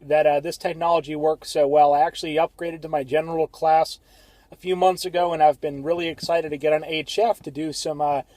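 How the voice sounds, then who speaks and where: A man's voice: fairly high pitch, flat delivery, fairly quick, a little mellow, no room sound. A young American man chatting casually as an amateur radio operator, recorded inside a car. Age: young adult. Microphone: phone camera facing him, close.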